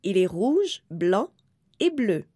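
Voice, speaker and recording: A woman reading a children's book aloud slowly and clearly, in careful, evenly spaced phrases. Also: mid-range voice, sing-song, warm tone, soft background music. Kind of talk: slow, clear read-aloud for young learners.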